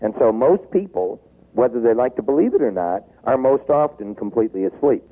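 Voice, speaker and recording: Animated sixty-year-old man on a tinny line. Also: a little fast. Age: 60s. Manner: animated, acts out quoted pleas, drops low when reflective. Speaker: business author guest. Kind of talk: taped interview. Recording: tinny phone-like tape.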